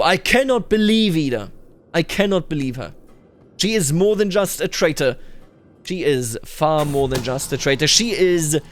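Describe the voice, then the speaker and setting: Guy doing roleplay game commentary, kinda high voice, talks formal like a king thinking out loud, music underneath. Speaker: gaming video host. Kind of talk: unscripted roleplay commentary over a strategy game. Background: music behind the voice.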